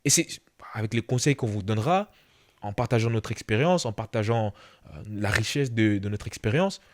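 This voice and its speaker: A man's voice: on the low side, animated, normal speed. A man co-hosting a mentoring podcast, speaking straight to the listeners in an encouraging, motivational way and often restarting his sentences. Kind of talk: motivational talk.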